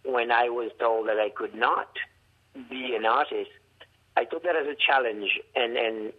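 A man with a heavy Haitian accent tells his story as an artist, stopping and restarting his sentences and acting out the dialogue. His voice sits at an ordinary pitch. It comes through a narrow, tinny telephone line. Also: fairly even intonation. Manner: reflective, halting with restarts, acts out quoted dialogue. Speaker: artist and art professor. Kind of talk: storytelling interview over the phone.

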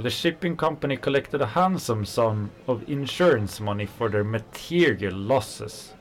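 An actor playing an angry working-class kitchen worker, a fiery socialist who talks in heated, scornful bursts. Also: male voice, lowish voice. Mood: bitter. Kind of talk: radio play acting.

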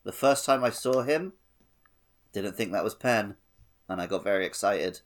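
A man's voice: on the low side, normal speed. A man co-hosting a podcast in casual, conversational chat. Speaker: podcast co-host. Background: music with plucked strings.

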